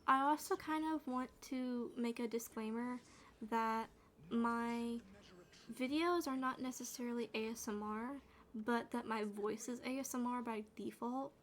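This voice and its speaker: A woman gaming streamer with a naturally quiet, soothing voice, chatting in a loose, rambling way while she plays. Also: a little high, unhurried, slight background noise. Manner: rambling, with fillers and restarts. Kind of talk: soft-spoken gaming chat.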